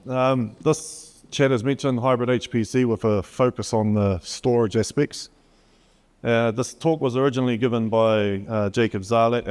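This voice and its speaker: A man's voice: on the low side, a little mellow, clean tone. A man with a New Zealand accent giving a technical conference talk, hesitant with frequent fillers as he reads from slides. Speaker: conference presenter. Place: conference hall. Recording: long hall reverb.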